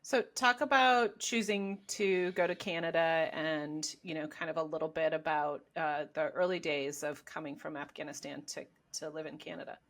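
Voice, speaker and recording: A woman interviewing a guest, asking questions in a gentle, encouraging way with short affirming replies. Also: sits low, steady tone, moderate pace, hardly any pauses. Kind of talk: interview questions on a video call.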